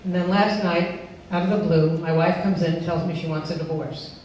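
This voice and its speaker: A woman's voice: fairly low pitch, fairly even intonation, a little fast, a little mellow, lightly acted. A woman voicing a distraught man whose marriage is falling apart, in a live story reading. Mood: miserable, shocked. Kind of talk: quoted character in a live comic story reading. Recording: echoey, noisy hall recording from far off.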